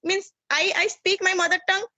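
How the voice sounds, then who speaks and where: High-pitched Indian-accented woman, earnest learner, lively bright tone. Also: a little fast, dry recording. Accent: Indian English. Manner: earnest, restarts often, says "means" as a filler. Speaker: subscriber, conversation partner. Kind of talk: casual conversation practice on a video call. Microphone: video call.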